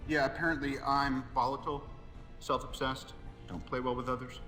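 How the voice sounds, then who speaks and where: A man's voice: medium pitch, flat delivery, sharp tone, soft background music, close to an everyday voice. A man playing a glib, self-assured genius inventor, dry and sarcastic, in a video game cutscene. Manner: dry, sarcastic, quick-witted.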